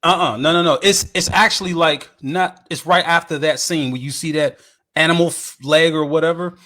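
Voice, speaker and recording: A man's voice: fairly high pitch, moderate pace, bright, forceful tone. An American man chatting casually with his co-hosts on a podcast. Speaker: podcast host. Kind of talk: casual group podcast chat.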